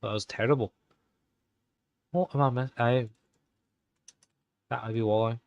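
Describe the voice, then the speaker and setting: A man's voice: medium pitch, fairly even intonation, a little slow, gravelly, no room sound, lo-fi sound. A young man live-streaming in a put-on Irish accent that slips now and then, chatting casually and muttering to himself while he types game commands.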